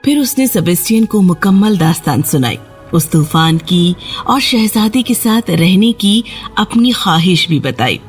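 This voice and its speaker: A woman's voice: medium pitch, expressive, breathy edge. Woman narrating a children's fairy tale. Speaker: storyteller, narrator. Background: background music.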